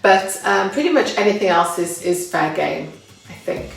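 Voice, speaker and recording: A woman's voice: medium pitch, expressive, moderate pace, creaky, very echoey, far from the mic, poor audio. A woman with an English accent, talking casually and upbeat about local living, with fillers and restarts. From England. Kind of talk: casual talk to camera.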